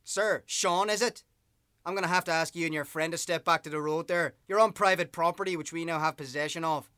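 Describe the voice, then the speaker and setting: A man's voice: a little high, fairly quick, bright, forceful tone, smooth, no echo, clean studio sound. An actor playing a smug, menacing male bailiff's assistant with a smarmy, sneering manner in a radio play. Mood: threatening.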